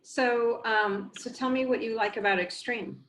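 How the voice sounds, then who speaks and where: A woman's voice: on the high side, measured pace, crowd noise, poor audio. Woman hosting a video-call interview on a headset. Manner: friendly, encouraging, short affirmations. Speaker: host. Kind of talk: casual work interview. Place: home office, indoors.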